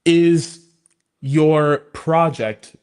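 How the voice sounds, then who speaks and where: A man's voice: fairly high pitch, drawn-out words, darkish tone, clean tone. A man explaining things in a conversational talk show style, emphatic and illustrating his points with acted-out examples.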